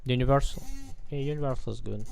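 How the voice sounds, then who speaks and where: A man's voice: mid-range voice, fast, gravelly, a little mellow, clean tone. A man in his thirties streaming game development from a home desk, chatting casually and hesitantly with many fillers. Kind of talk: casual live coding chat.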